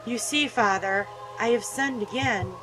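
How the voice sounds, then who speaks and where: A woman voicing a wounded, ashamed young girl in a visual novel, halting, subdued and apologetic. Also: fairly high pitch, over music, close to an everyday voice, poor audio.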